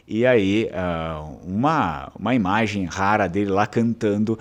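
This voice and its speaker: Low-voiced southern Brazilian man rambling. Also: unhurried. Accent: southern Brazilian Portuguese. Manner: rambling, many fillers and restarts. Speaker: channel host. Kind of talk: casual talk to camera.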